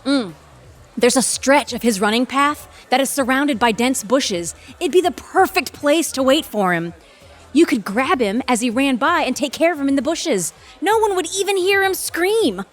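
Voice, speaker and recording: A woman's voice: sits high, lively intonation, moderate pace, soft background music. A woman playing an intense, bitter, ambitious lawyer and boss in an audio drama, sardonic and emphatic. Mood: cool, scheming. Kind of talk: audio theatre acting.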